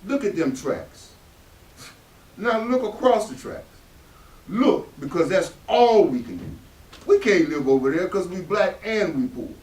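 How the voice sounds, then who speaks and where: A man with a Southern American accent playing an embittered, protective father in a stage reading, in emphatic folksy speech. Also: sits high. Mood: weary, firm. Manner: emphatic, folksy vernacular speech with dramatic swells. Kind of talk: stage readers theatre acting. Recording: echoey room, distant stage microphone.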